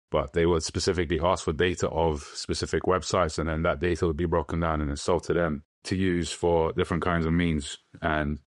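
A man's voice: low voice, no room sound, clean studio sound. A man, giving a personal account as a guest in an interview. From London, England. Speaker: podcast guest.

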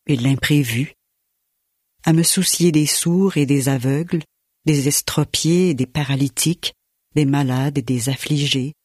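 A man reading a prayer slowly and solemnly on the radio. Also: medium pitch, darkish tone, no room sound, clean studio sound. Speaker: radio announcer. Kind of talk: read prayer.

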